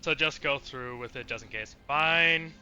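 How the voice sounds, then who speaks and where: A man's voice: medium pitch, a little slow, sharp tone, faint music behind. A man doing casual, sweary let's play commentary, reacting with exasperation as he streams. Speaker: video game streamer.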